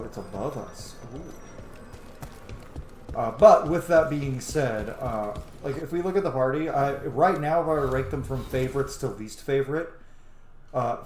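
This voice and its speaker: A man's voice: mid-range voice, roomy sound, slight background noise. A man giving casual, chatty, enthusiastic gameplay commentary, with some offhand swearing. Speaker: host of a gameplay series.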